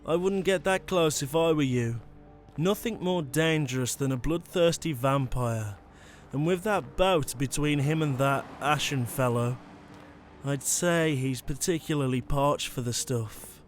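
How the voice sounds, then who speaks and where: A man's voice: on the high side, unhurried, soft background music, natural voice. A man playing a taunting, impatient monster hunter with a casual, sardonic menace, in a dramatic ASMR audio roleplay. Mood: smug, taunting.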